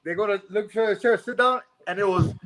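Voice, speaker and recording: A man in his forties from Miami, a fighter chatting as a guest in a relaxed way, laughing easily and stammering into his answers. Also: high voice, quick pace, over a noisy crowd, poor audio. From Miami, United States. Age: 40s. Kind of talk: casual interview chat.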